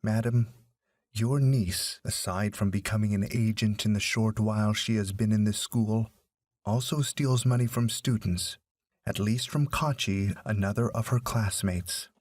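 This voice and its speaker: A man's voice: on the low side, measured pace, a little mellow, no echo, studio quality. A man voicing a stern male teacher who gives a formal, matter-of-fact account in a story. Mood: stern explaining.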